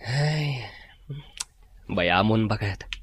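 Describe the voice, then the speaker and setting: A man's voice: on the low side, lively intonation, extremely slow, husky, no room sound, exaggerated voice. A man playing a concerned, practical companion in an Ilocano radio drama, reassuring, with an Ilocano accent. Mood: consoling.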